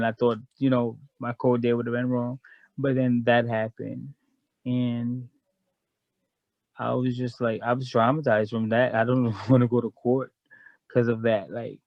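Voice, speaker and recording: A man's voice: medium pitch, gravelly, no room sound, dull audio. A man from Guyana, a guest in a casual chat, telling a long story in a frank, rambling way.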